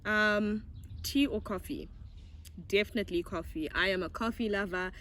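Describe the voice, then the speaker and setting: A woman's voice: mid-range voice, moderate pace. Bubbly young Zimbabwean woman vlogger. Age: young adult. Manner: bubbly, giggly, chatty and animated. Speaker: YouTuber. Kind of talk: casual get-to-know-me chat.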